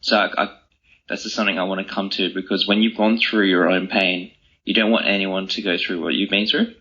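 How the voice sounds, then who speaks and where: A man's voice: deep voice, fairly even intonation, brisk, pushed and bright. A man giving earnest, reflective answers as an interview guest in a conversational style. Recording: slightly dull, lo-fi sound.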